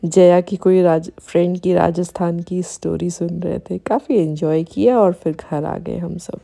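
A woman narrates her family vlog in a mellow, relaxed voice. She sits slightly low for a woman and talks casually, as if catching up with friends. She sounds to be in her thirties. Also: a little slow, vocal fry. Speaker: family vlogger. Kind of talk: casual vlog narration mixing Hindi and English.

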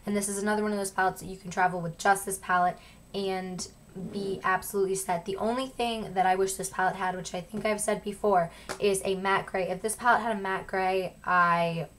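A young woman reviewing her favorite makeup products with a hoarse, croaky voice, talking with gushing enthusiasm to a camera in her bedroom. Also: medium pitch, level tone, brisk, fairly bright tone, a little hiss or hum. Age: young adult. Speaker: beauty vlogger. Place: bedroom at home. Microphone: camera in front at close range.

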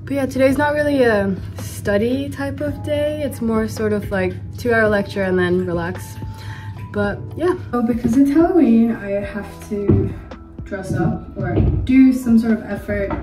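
A young woman talks casually into a phone held at arm's length at home, chatty and upbeat. Her throat is slightly sore from a cold she is getting over. Background music plays clearly underneath her voice. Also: a little high. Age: young adult. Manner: chatty, upbeat, lots of "so yeah" and "like". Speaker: student vlogger. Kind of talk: casual day-in-the-life vlog. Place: home room with blinds and a bathroom. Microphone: phone or camera at arm's length.

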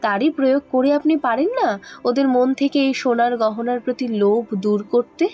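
A woman's voice: on the high side, fairly even intonation, moderate pace, darkish tone, natural voice. A woman playing an earnest young village woman who questions respectfully in a horror audio drama. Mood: earnest, pleading. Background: background music.

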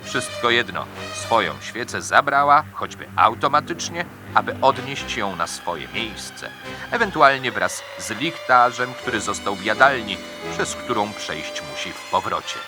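A man narrating scripted true crime in a theatrical, suspenseful manner with dramatic pauses. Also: on the low side. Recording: music bed behind the voice. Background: music.

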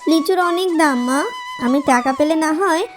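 Lady doing a cartoon fox mom, high voice, caring n motherly, music behind. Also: normal speed, lightly acted. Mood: gentle, regretful. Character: caring, poor fox mother soothing her daughter. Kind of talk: children's cartoon dubbing.

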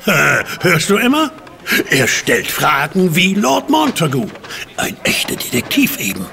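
A gossipy village innkeeper, opinionated, gravelly voice that swings up and down. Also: male voice, fairly high pitch, wildly swooping pitch, a little slow, sharp tone, a little hiss or hum, heavily acted. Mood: marvelling. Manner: gossipy, emphatic. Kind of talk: German audio drama dialogue.

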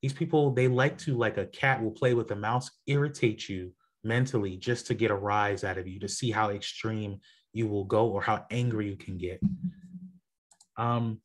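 A man's voice: on the low side, fairly even intonation, moderate pace. A man leading an online group session on astrology, talking casually with many fillers and restarts. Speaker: astrology presenter.

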